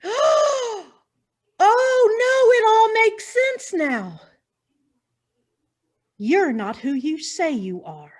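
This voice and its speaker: A woman acting in a stage farce as a bold, nosy newspaper reporter with a melodramatic, deliberate delivery. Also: extremely high pitch, very animated, heavily acted. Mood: dramatic revelation.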